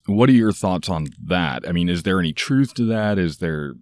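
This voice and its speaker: A man's voice: low voice, animated, moderate pace, a little mellow. A man co-hosting a casual podcast outdoors, joking and rambling through strange comparisons. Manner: rambling, jokey, fond of odd metaphors. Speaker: podcast co-host. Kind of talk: casual chat. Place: outdoors, light breeze.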